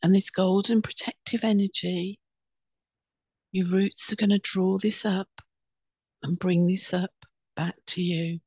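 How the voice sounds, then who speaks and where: A woman leading a guided meditation in a soothing, unhurried, instructing way with pauses between phrases. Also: mid-range voice, flat delivery, darkish tone, breathy edge, no room sound.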